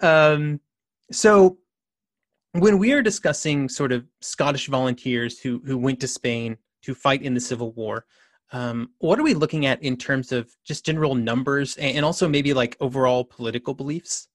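A man's voice: mid-range voice, moderate pace, dry recording, clean studio sound. A man hosting a history interview podcast, reading a scripted introduction and then asking questions casually. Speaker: podcast host.

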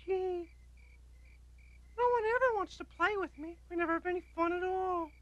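A woman's voice: very high-pitched, normal speed, fairly bright tone, dull audio. A woman voicing an eager, playful little male puppy in a cartoon, innocent and pleading. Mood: disappointed. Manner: bubbly, pleading. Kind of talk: cartoon voice acting.

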